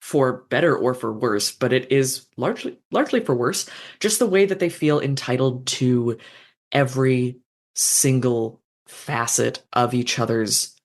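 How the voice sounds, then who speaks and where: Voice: medium pitch, measured pace. A fanfiction author in a casual podcast conversation, thoughtful and meandering, with frequent fillers and restarts.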